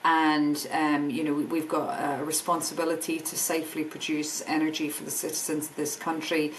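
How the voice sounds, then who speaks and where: A woman's voice: low voice, flat delivery, normal speed, slight background noise, low-quality recording. A Scottish woman in a podcast interview, speaking earnestly and enthusiastically, repeating words as she thinks. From Scotland. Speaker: guest, energy business director.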